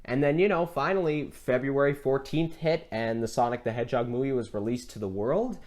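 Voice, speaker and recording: A male podcast co-host with a mid-pitched voice chats casually about movies. He sounds enthusiastic and opinionated, and he leans on repetition to stress what he means. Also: brisk, a little mellow.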